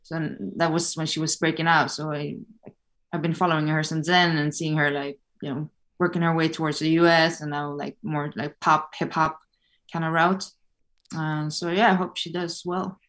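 A woman chats as a podcast guest in an easygoing, thoughtful way, leaning on fillers as she thinks aloud. Her voice sits on the lower side for a woman. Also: little pitch movement, normal speed, a bit bright. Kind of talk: casual interview chat.

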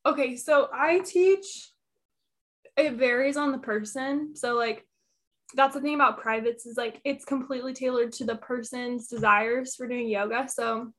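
Higher-voiced woman, relaxed and candid. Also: measured pace. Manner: relaxed, candid, rambling with fillers. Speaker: yoga teacher guest. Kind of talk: casual interview chat. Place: her apartment.

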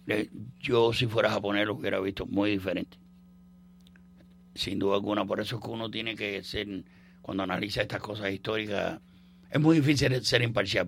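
A man's voice: fairly low pitch, fairly bright tone. An older man from Cuba with a Cuban accent, hosting a radio show and chatting about history in a rambling, joking way with many fillers and restarts. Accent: Cuban Spanish. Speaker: radio host. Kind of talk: casual on-air history chat.

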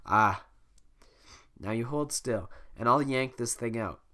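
A grumpy male ogre, as a podcaster puts him on, talks in slow, tired grumbles. The tone is bright and a little raised above a plain speaking voice. The voice comes from a home podcast retelling. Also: medium pitch, a little hiss or hum. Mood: gruff. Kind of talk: amateur podcast voice acting.

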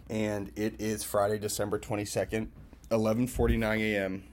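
A young man in his twenties with a lowish voice speaks in a loose, rambling way. He swears freely and addresses viewers as if they were friends. He is from Massachusetts and records at a hotel, with a faint hum of background noise. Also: level tone, normal speed. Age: 20s. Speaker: filmmaker and event host vlogging. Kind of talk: casual rambling birthday check-in.

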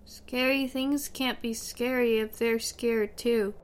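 A woman's voice: on the high side, steady tone, takes it slowly. A woman playing a young girl in a horror audio play, giving short, blunt, childlike replies. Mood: defiant. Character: young girl, defiant but frightened, facing closet monster.

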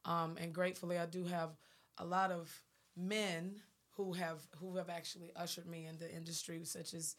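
Raspy low-voiced woman, earnest. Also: level tone, moderate pace. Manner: earnest, emphatic, checks listener understands. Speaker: gospel singer. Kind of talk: panel interview conversation.

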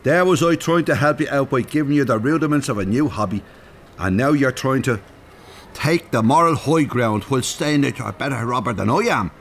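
An actor playing a chatty older Irish chancer in a radio drama, colloquial and teasing. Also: male voice, medium pitch, fairly quick, slight background noise, natural voice. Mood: needling. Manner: colloquial, teasing, swears mildly. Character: chatty, cheeky older Dublin chancer, male.

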